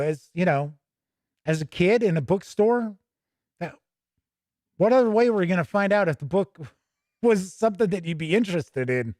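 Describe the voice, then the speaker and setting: A man with a slightly high-pitched voice reviews tabletop games in a dry, close-sounding space. He talks conversationally and gives his opinions freely. He often pauses mid-sentence as he thinks aloud. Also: a little mellow, no echo. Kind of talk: casual unscripted product review with passages read aloud.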